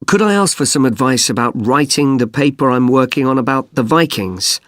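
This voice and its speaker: Actor as undergraduate: young man, medium pitch, polite and earnest, very dry studio-style exam dialogue. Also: expressive, moderate pace, fairly bright tone, no room sound, close to an everyday voice. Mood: polite, keen. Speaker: student. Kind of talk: scripted listening-test dialogue.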